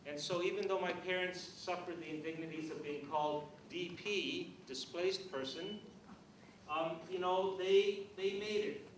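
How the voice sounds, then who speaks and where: A man's voice: high voice, measured pace. A man giving a public speech with emphatic, pointed phrasing. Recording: echoey room, distant mic, noisy.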